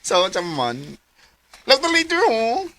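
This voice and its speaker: An actress playing a chatty, cheeky young friend in a radio drama, slangy and playful. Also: female voice, on the high side, wildly swooping pitch, a little slow, fairly bright tone, slight background noise, heavily acted. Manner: slangy, playful, mixes in English.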